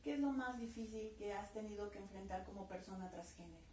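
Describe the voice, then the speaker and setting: A woman, asking interview questions in a calm, direct way. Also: medium pitch, fast, a little hiss or hum. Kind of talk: interview questions and scripted intro. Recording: echoey room, mic at a distance.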